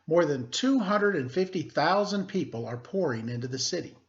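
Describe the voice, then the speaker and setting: A man speaking as an elementary teacher, reading aloud to pupils with deliberate emphasis and informal asides. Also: on the high side, lively intonation, dull audio. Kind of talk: read-aloud lesson with casual asides.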